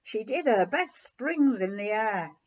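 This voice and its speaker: A woman reading a children's story aloud in an animated, measured storytelling manner. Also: fairly high pitch. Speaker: story reader. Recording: slightly dull, lo-fi recording.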